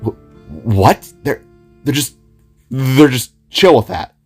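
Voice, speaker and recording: A man playing a shy, bewildered young male spark newcomer, speaking in a hesitant, halting way. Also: medium pitch, animated, a little mellow. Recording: music behind the voice.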